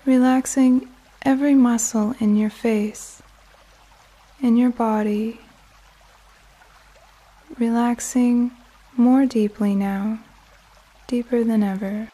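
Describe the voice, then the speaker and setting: A woman with a soft, soothing voice narrating a guided sleep meditation in a gentle, calming manner with long pauses. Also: fairly high pitch. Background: soft music and flowing water nature sounds.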